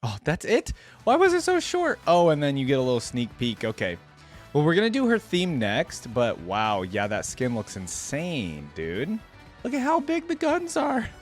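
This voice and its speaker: A young adult man, giving chatty, enthusiastic reaction commentary as a video host. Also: mid-range voice.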